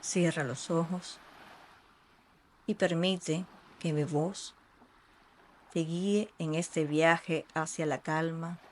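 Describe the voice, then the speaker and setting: A meditation guide speaking in a soothing, unhurried way with long pauses between short phrases. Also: medium pitch, steady tone, a little hiss or hum. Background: ambient sea sounds.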